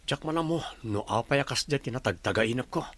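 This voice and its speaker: A man's voice: medium pitch, animated, fairly quick, fairly bright tone, slightly rough. A man acting an earnest young boss with an Ilocano accent in a radio drama. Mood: puzzled.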